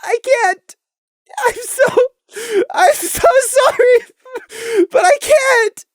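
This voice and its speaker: A woman voicing a young male pony who is broken and full of self-loathing, crying as he stammers and breaks into shouts. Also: very high-pitched, very animated, slow, dry recording, clean studio sound, voice pushed up, heavily acted. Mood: tearful.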